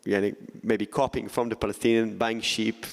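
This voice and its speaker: Man, journalist panelist, low voice, measured explaining, long hall reverb. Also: little pitch movement, gravelly. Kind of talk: panel discussion talk. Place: festival conference hall.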